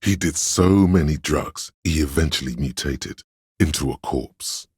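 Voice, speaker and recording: An actor voicing an erudite, worldly inner voice of knowledge in a video game, narrating facts in a measured, dry, scholarly way. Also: male voice, extremely low pitch, a little mellow, slightly rough, no room sound, heavily acted. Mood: measured, knowing.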